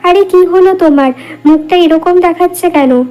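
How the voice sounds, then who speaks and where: A woman's voice: very high-pitched, moderate pace, mellow, soft background music. A woman voicing an agreeable, earnest village wife and mother in a cartoon. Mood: worried. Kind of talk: cartoon dialogue.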